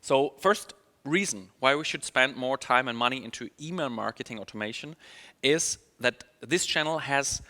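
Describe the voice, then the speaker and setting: German guy w/ accent doing an upbeat webinar talk, bright voice, lots of ums, echoey hall. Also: medium pitch, normal speed. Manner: upbeat, many fillers and restarts. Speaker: email marketing trainer. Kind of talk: online conference presentation.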